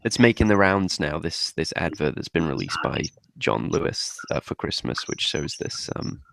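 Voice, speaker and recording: A man's voice: low-pitched, lively intonation, normal speed, gravelly, a bit bright, no room sound. A man talking as a panelist in a casual political discussion on an online group call.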